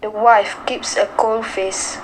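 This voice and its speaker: A woman's voice: mid-range voice, little pitch movement, takes it slowly, natural voice. A woman playing the narrator of an amateur radio drama, reading scripted storytelling solemnly. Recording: noisy home-made recording.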